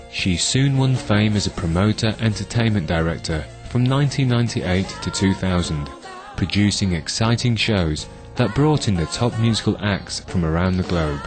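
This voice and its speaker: Male narrator, low register, scripted documentary profile read with music underneath. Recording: music behind the voice.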